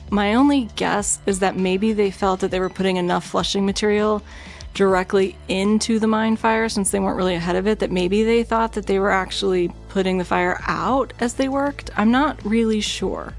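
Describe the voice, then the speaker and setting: A woman co-hosting a true crime podcast, telling the story in a chatty, self-deprecating way. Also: mid-range voice. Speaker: podcast co-host.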